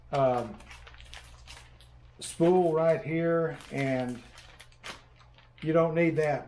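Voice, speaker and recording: An older man in a workshop, calmly demonstrating a task in casual how-to style, pausing with fillers as he works. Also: on the high side, a little hiss or hum. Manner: unhurried, plainspoken, pauses with fillers while working. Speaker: workshop host. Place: large workshop with shelves of printers, indoors.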